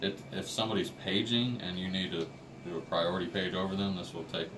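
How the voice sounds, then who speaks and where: A man's voice: deep voice, fairly quick. A man giving an informal, practical step-by-step walkthrough of equipment, as an installer would to staff. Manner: plain, practical, explaining step by step. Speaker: installer explaining a system to staff. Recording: noisy room, distant microphone.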